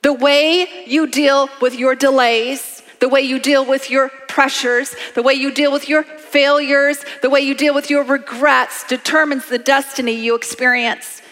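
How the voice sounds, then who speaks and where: Woman pastor, late fifties, high voice, lively conversational preaching, reverberant sanctuary. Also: a little slow, few pauses, faint music behind. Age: 50s. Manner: animated, conversational preaching with congregation call-outs. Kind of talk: sermon. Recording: long hall reverb.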